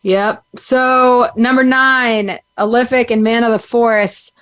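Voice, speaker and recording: A woman's voice: fairly high pitch, steady tone, slow, a bit bright, clear, resonant tone, dry recording. A woman hosting a podcast, talking casually with her co-host and husband in a rambling, chatty way full of fillers and restarts.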